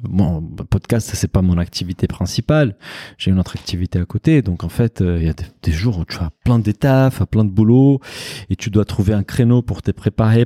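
A man's voice: lowish voice, mellow, dry recording, studio quality. A man whose first language is Portuguese, talking casually and frankly about podcasting, with hesitations. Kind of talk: casual interview answer.

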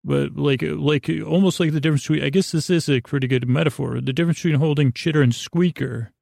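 A man with a gravelly, creaky voice hosts a bedtime podcast, telling rambling stories in a halting, meandering way and often interrupting himself. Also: fairly high pitch, fairly even intonation, darkish tone, dry recording, clean studio sound. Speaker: podcast host. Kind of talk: rambling bedtime storytelling monologue.

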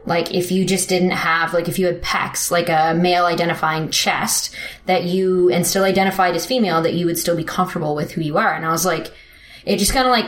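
A woman's voice: lowish voice, steady tone, a little fast. A young woman telling a personal story in casual conversation, with lots of "like" fillers and frequent laughter. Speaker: interviewee. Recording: echoey room.

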